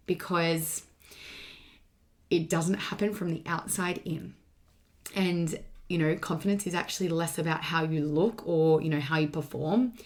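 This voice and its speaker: A woman with a slightly low voice delivers a motivational solo podcast monologue. She talks like a coach, casual but emphatic, and restates her main points. Her voice sounds a touch strained, as if not at full strength. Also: a little mellow. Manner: emphatic, repeats key points, casual swearing. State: voice slightly strained from partly losing it.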